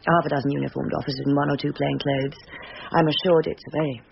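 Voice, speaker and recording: A British woman's voice with plenty of creak, a senior police officer in a radio play. She is formal, probing and dryly authoritative. The recording is dull, with faint noise behind. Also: low-pitched, little pitch movement, fast talker. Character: brisk, probing senior female police superintendent. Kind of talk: radio drama acting.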